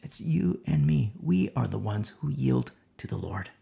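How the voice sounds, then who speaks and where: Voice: medium pitch, expressive, unhurried, creaky, warm tone. A preacher delivering a sermon, with rhetorical questions and a repeated "you see?".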